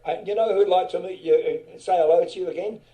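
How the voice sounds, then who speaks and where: A man's voice: sits high, quick pace, dark tone, echoey room, pitched above the performer's own voice, natural voice, rough recording. A man voicing a friendly Australian male band manager, chummy and eager, with an Australian accent.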